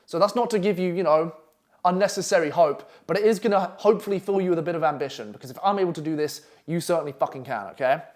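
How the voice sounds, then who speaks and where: Brash, fast-talking Essex man. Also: a little high. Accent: Essex English. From England. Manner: brash, profane, pushy. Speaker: copywriting coach. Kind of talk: online masterclass presentation. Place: London flat.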